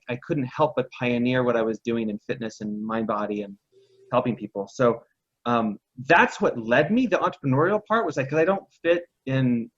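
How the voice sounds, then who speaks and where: A reflective man from Texas tells his story as a podcast guest, often restarting his sentences. His voice sits at a middle pitch, and the recording sounds slightly dull and lo-fi. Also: a bit bright. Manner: reflective, many restarts and self-corrections. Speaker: guest entrepreneur and coach. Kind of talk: casual interview storytelling.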